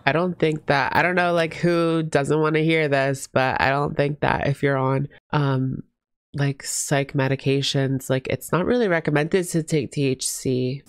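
A man's voice: on the high side, steady tone, a little slow, clean tone, no echo. A young man hosting a reaction video, chatting in a gossipy, casual way into a close mic at home. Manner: chatty, gossipy, frequent "like" fillers. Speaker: reaction channel host. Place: indoor home room, gaming chair. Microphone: podcast mic in front of face.

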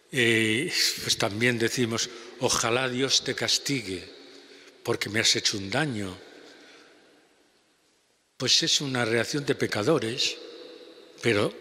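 An older man with a Spanish accent preaching a homily at a lectern, slow and measured with pauses. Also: mid-range voice, pushed and bright. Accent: Spanish (Spain). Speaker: priest. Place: large church basilica. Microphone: lectern gooseneck mic. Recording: long church reverb.

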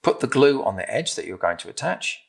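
British man, middle-aged, husky, animated intonation, craft presenter, gentle and encouraging, simple step-by-step instructions. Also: medium pitch, a little fast. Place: indoor room with timber-framed walls, at a table.

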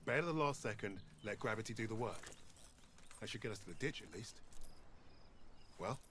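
A man's voice: fairly low pitch, expressive, normal speed, a bit bright, a little hiss or hum. A man with a British accent playing a bold, resolute wartime sergeant in a video game drama. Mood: calm, planning. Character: bold British paratrooper sergeant, resolute male squad leader.

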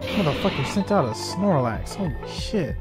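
A man's voice: on the high side, animated, moderate pace. A man doing casual, laid-back gameplay commentary as the host of a gaming video, with mumbled asides. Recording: game music behind. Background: video game music.

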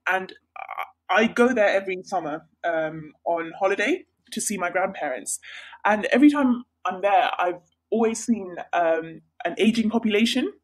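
A young woman from Sri Lanka, a healthcare researcher, answering interview questions in a bright, chatty way with many pauses and restarts. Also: on the low side, husky, rough recording. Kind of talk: casual interview.

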